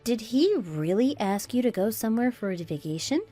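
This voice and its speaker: A woman's voice: a little high, expressive, moderate pace, warm tone, dry recording, soft background music. A woman playing a fed-up young daughter-in-law and mother in an audio drama, arguing back with pointed sarcasm. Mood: taken aback. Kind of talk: scripted radio drama dialogue.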